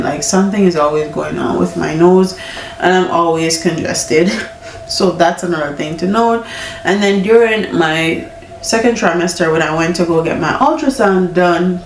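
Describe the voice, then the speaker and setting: Young woman, stuffy-nosed, frank home vlogger; lower voice, roomy sound from a distant mic. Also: slight background noise. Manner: candid, chatty, laughing often. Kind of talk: casual pregnancy chat. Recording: echoey room, mic at a distance.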